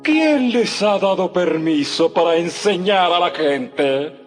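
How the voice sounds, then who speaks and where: A man's voice: high voice, animated, takes it slowly. An actor playing a stern, indignant male religious council leader in a dramatized audio Bible, vehement and commanding. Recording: long hall reverb, faint music.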